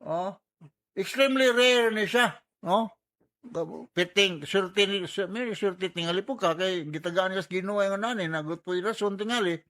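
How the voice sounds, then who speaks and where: A man's voice: sits high, normal speed, a bit bright. A man with a Cebuano accent giving conversational advice as a radio host, with joking asides and some English mixed in.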